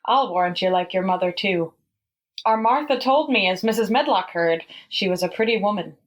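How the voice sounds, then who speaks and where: A woman's voice: mid-range voice, expressive, normal speed, natural voice. A woman voicing a tender motherly countrywoman, speaking in homely dialect. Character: warm motherly Yorkshire countrywoman.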